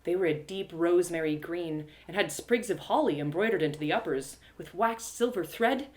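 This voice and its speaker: A woman narrating a scripted fantasy tale in the first person, with expressive, dramatic storytelling. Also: lowish voice, moderate pace.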